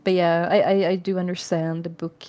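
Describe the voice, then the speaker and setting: A woman's voice: lowish voice, flat delivery, moderate pace, mellow. Chatty young woman with Swedish accent. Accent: Swedish-accented English. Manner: chatty, frank, swears casually. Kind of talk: casual chat.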